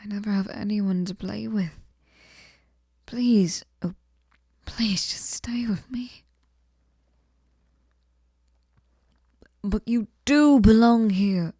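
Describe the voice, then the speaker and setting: A woman's voice: mid-range voice, slow pace, dark tone, no echo. A woman playing a sweet, possessive, lonely fairy in an intimate ASMR roleplay, cooing breathily and often slipping into whispers close to the mic. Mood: pleading, lonely. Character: sweet, clingy, possessive female fairy hostess, lonely and obsessive. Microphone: close microphone, dry sound.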